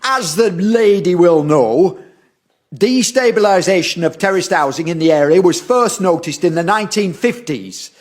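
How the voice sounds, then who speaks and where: An actor playing an officious, condescending council official with a formal manner in an audio drama. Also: male voice, high-pitched, expressive, normal speed. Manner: formal, pompous, stammers when challenged. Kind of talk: stage-like audio drama acting.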